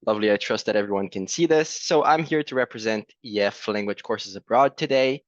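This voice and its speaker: A man's voice: on the low side, no room sound. Brazilian-accented man on a muffled call. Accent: Brazilian Portuguese. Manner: friendly, fills pauses with "right?". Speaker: program presenter. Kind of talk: virtual fair presentation. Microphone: video call.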